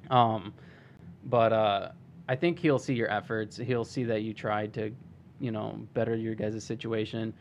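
A man with a low, gravelly voice hosts a conversational interview. He sounds hoarse, as if his voice is wearing out. Some noise sits behind him. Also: steady tone, unhurried. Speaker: podcast host interviewing a guest.

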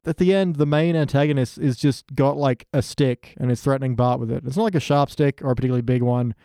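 Male podcast panelist, mid pitch, soft dark tone, dry close sound; exasperated, incredulous comic recapper. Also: fast, no room sound. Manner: acts out quotes in exasperated, incredulous asides. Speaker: podcast panelist reviewing a TV cartoon. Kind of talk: casual chat, comedic episode review.

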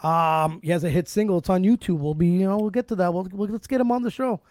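A man's voice: sits high, fast, darkish tone, dry recording. A man in his forties from Canada, hosting a casual podcast in a loose, slangy, chatty way. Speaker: podcast host.

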